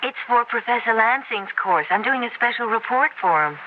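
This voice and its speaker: A woman's voice: a little high, animated, fairly quick, natural voice. An actress in a 1970s radio horror drama playing a woman at a university. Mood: friendly. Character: women of a radio horror play: students and conspirator. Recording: muffled 1970s radio broadcast, faint noise.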